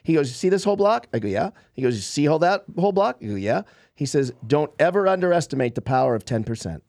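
A man's voice: fairly high pitch, big pitch swings, fast, vocal fry, a little mellow, dry recording, clean studio sound. A man in his fifties, a guest on a podcast, casually telling stories about his past. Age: 50s. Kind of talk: casual storytelling.

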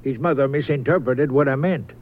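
A man's voice: mid-range voice, a little fast, natural voice. A man playing a middle-aged, opinionated father with blunt, folksy, ungrammatical speech in a 1970s radio drama. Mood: indignant, blunt. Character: disapproving, opinionated father of a murdered son. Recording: narrow, muffled vintage radio sound.

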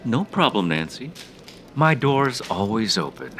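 An actor playing a smug, preachy tech office boss in an audio drama, condescending with false friendliness. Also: male voice, lowish voice, sing-song, unhurried, a bit bright, a little hiss or hum. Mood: faux concern. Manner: condescending, faux-friendly, sloganeering.

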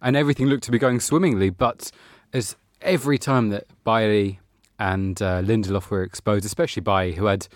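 A man with a low voice analysing a match on a podcast. He has a matter-of-fact manner and a dry, understated way of putting things. His voice is recorded close and dry. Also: steady tone, brisk, few pauses, no echo. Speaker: football journalist pundit.

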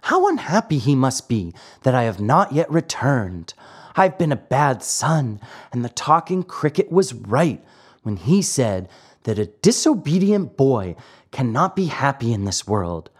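A man voicing a naive wooden puppet boy who talks in an excited, pleading way. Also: a little slow, breathy edge. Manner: excited, pleading, high-pitched.

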